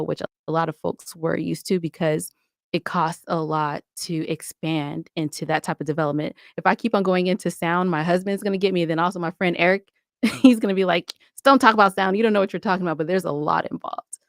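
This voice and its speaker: An enthusiastic woman in a conversational interview, explaining her points with emphasis. Her voice sits a little low, and the sound is dry and close, with hardly any room in it. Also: fairly even intonation, brisk, gravelly, no echo. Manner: enthusiastic, emphatic, explanatory, often checks with "right?". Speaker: podcast guest, audio drama producer.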